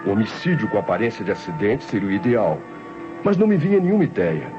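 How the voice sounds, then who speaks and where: An actor playing an idle, self-justifying nephew who narrates his confession formally and deliberately, with a Brazilian accent, in an old radio drama. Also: lowish voice, wildly swooping pitch. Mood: cold, self-justifying. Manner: formal, deliberate, theatrical diction. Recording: dull old radio recording with music.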